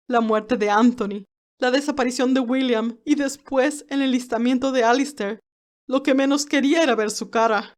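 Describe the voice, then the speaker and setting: A woman's voice: on the high side, natural voice. A woman playing a stern, haughty elderly aristocratic great-aunt who tells her story formally and dramatically, with anguish and resentment. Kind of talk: first-person dramatized narration.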